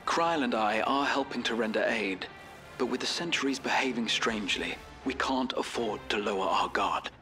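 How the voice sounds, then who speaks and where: An actor playing a courteous, caring young scholar with formal, old-fashioned phrasing in a game cutscene. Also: male voice, mid-range voice, normal speed, pushed and bright, soft background music, lightly acted. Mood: grave, concerned. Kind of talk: video game cutscene voice acting.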